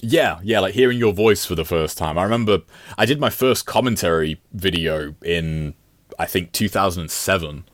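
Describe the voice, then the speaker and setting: Man, deep voice, dry sound, casual podcast guest, rambling, self-deprecating streamer chat. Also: moderate pace, dry recording.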